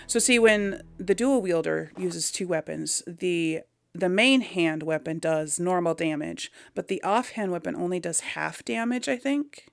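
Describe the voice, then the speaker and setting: A woman's voice: lowish voice, a little slow, gravelly, no room sound, soft background music. A woman giving casual video game commentary, thinking aloud in a hesitant, musing way. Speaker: video game let's player.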